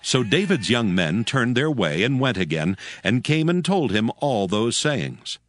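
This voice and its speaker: A man's voice: medium pitch, sing-song, measured pace. A man narrating scripture as a scripted dramatic reading. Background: music.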